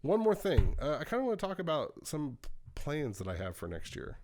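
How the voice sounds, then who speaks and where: A man's voice: on the high side, huge pitch swings, a little fast, darkish tone, slight background noise. A man co-hosting a podcast in casual, rambling chat, swearing now and then. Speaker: podcast co-host.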